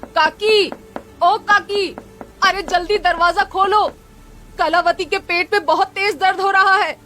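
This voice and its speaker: A woman's voice: extremely high pitch, fairly even intonation, slow pace, pushed and bright, voice pushed up, heavily acted. A woman voicing a poor, devout village sculptor in a cartoon story, earnest and plaintive. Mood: urgent.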